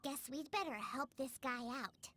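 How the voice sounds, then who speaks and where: A woman voicing a small, cheerful fairy companion in a video game, squeaky and childlike with an eager, chirpy manner. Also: measured pace, bright, forceful tone, slight background noise. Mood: curious, eager. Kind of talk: scripted video game voice acting. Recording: game music behind the voice.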